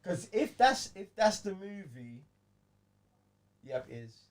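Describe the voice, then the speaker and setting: A man's voice: sits high, very animated, slow, heavy background noise. A man with a London accent hosting a casual film chat, slangy and repeating phrases for emphasis. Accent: London British. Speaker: podcast host. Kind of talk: casual panel chat about films.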